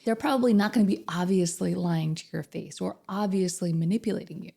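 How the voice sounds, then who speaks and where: A woman coach with a slightly hoarse voice from a cold, chatting directly to viewers about relationships and asking them questions. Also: fairly low pitch, a little fast, mellow. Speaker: narcissistic abuse recovery coach. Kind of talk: casual direct-to-camera advice talk.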